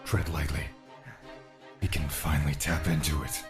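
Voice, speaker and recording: A man's voice: very deep voice, flat delivery, normal speed, over loud music. A man playing a grave, watchful, commanding guardian in an audio drama, giving terse, ominous warnings. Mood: ominous, urgent.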